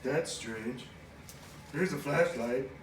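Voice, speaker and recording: A man's voice: mid-range voice, slow, natural voice. A man voicing a goofy, forgetful puppet space crewman with a folksy, dutiful manner in a children's church show. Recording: echoey room, distant mic, faint noise.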